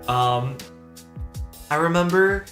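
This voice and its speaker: A man chatting casually on a livestream, excitable and slangy, acting out what his friends said as he tells stories. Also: fairly high pitch, takes it slowly. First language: French speaker. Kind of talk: casual chat, animated storytelling. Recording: roomy stream audio with music behind. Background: background music.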